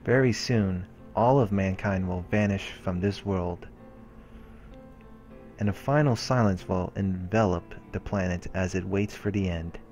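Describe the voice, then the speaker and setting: A man's voice: deep voice, measured pace, a little mellow. A man hosting a let's play, reading a visual novel's narration aloud like a storyteller. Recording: music under the voice. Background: game background music.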